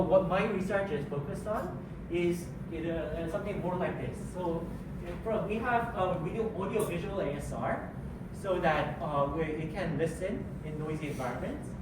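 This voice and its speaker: Halting presenter in a very echoey room. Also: medium pitch. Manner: halting, many fillers and restarts. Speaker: research presenter. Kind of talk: conference presentation. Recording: very echoey, distant mic, loud room noise.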